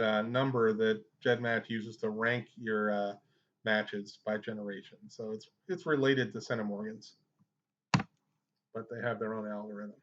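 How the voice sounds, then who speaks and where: A man's voice: medium pitch, fairly bright tone. Man giving a tutorial, dull lo-fi sound. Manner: conversational, explanatory. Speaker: genealogy tutorial host. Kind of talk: informal screen-share tutorial.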